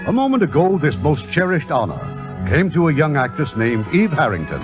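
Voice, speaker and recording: A man's voice: on the high side, very animated, brisk. A man announcing and narrating a scripted 1950s radio program in a formal, grand manner. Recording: muffled old radio recording, music behind.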